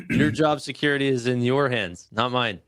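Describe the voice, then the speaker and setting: A man with a medium-pitched voice, recorded close and dry. He chats as a co-host, offering opinions informally and feeling his way haltingly through them. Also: fairly bright tone, no room sound. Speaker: live stream co-host. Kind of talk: casual chat commentary.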